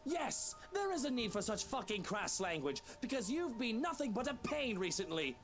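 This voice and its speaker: An actor playing an arrogant, foul-mouthed, hot-tempered husband in a visual novel, sneering and belligerent. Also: male voice, extremely high pitch, very animated, normal speed, heavily acted. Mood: scornful rage. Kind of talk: dramatic visual novel voice acting. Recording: game music behind the voice. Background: game music.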